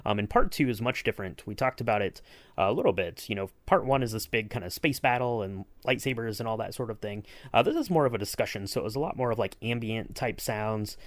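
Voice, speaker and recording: A man's voice: fairly low pitch, expressive, quick pace, no room sound. A man co-hosting a podcast, chatting casually and rambling with lots of fillers and restarts. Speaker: podcast co-host. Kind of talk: casual behind-the-scenes chat.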